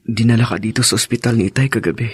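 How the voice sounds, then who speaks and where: An earnest young Filipino husband in a radio drama, devoted and uneasy. His medium-pitched voice is slightly rough and recorded close and dry. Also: rapid-fire, no echo. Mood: sorrowful, worried.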